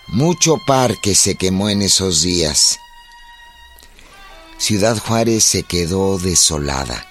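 Male narrator for historical radio drama: lowish voice, slow scripted delivery, music clearly underneath.

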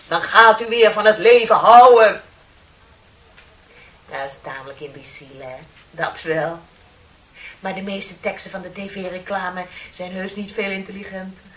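A woman's voice: mid-range voice, a bit bright, lightly acted. An actress playing a chatty, dreamy younger female office clerk in a Dutch radio play, with a theatrical, wryly ironic way of talking. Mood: playful, mocking, then wry, confessing. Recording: dull, hissy old radio recording.

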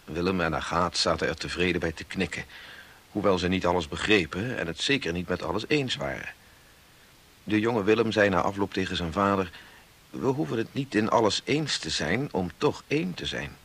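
A man's voice: sits low, lively intonation, moderate pace, fairly bright tone, slightly rough, exaggerated voice. An older actor playing a devout, reflective grandfather who narrates his family's story earnestly and with measure in a 1960s radio drama. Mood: reflective, contented.

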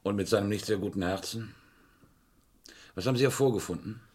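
A man playing a brisk, commanding police inspector, businesslike and terse, in a German radio crime play. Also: lowish voice, a little mellow, lightly acted.

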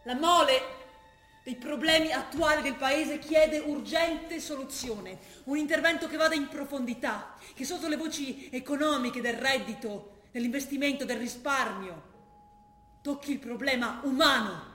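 An actress playing a determined, studious young daughter in a stage drama, with a Trentino accent and an earnest manner. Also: female voice, high-pitched, fairly bright tone, echoey room. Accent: Trentino, northern Italian. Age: young adult. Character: determined, studious young female sociology student, impatient daughter. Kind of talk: stage acting, from dialect talk to declaimed reading.

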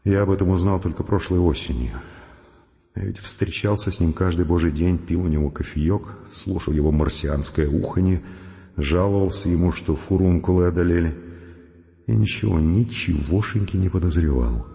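A man's voice: sits low, normal speed, gravelly, warm tone, slightly rough, muffled recording, full character voice. An actor playing an agitated, sardonic male scientist who argues and broods in a Russian radio drama. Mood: uneasy, brooding. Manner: conversational, sardonic, impatient. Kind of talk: Russian radio drama acting and first-person narration.

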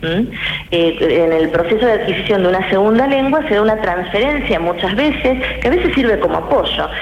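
A woman, a guest linguist on a radio interview, explaining in a measured, academic way with many hesitations. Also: medium pitch, lively intonation. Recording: roomy, muffled radio with hiss.

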